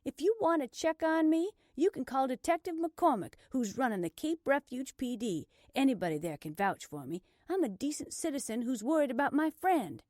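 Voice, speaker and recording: A woman narrator voicing a stubborn, combative younger woman under arrest, arguing forcefully in an audiobook. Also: mid-range voice, expressive, unhurried, no room sound, clean studio sound. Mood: indignant. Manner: forceful, argumentative, emphatic delivery.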